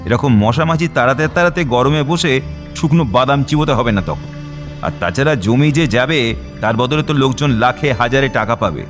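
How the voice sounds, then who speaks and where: An actor in a radio drama playing a domineering, hot-tempered official with a hectoring, sneering manner. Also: male voice, mid-range voice, expressive, a little fast, music in the background, close to an everyday voice. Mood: indignant. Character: domineering, hot-tempered male project official, scornful boss. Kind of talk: Bengali radio drama acting.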